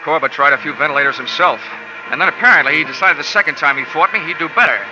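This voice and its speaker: A man's voice: a little high, fast, natural voice. An actor playing a wry, hard-boiled male insurance investigator who narrates in dry, wisecracking first person, in 1950s radio drama style. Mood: tense. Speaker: narrating detective lead. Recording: dull old radio recording with music.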